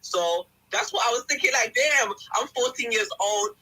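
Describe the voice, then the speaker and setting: A young man from England tells his story as a guest in a casual podcast chat, animated and acting out what others said. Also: extremely high pitch, moderate pace, husky, rough recording. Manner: animated storyteller who acts out quotes.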